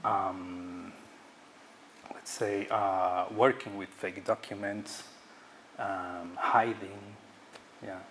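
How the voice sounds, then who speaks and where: Halting Ecuadorian-born man, low voice. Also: fairly even intonation, fairly bright tone, slight background noise. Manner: halting, searching for words, many fillers. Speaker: guest artist. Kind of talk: public artist talk. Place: auditorium.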